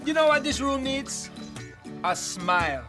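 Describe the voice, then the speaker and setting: A man's voice: very high-pitched, sing-song, measured pace, exaggerated voice. An actor with a Jamaican accent playing a relentlessly cheerful, easygoing man in a comedic commercial. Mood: cheerful, soothing. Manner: sunny, laid-back. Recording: loud guitar music behind.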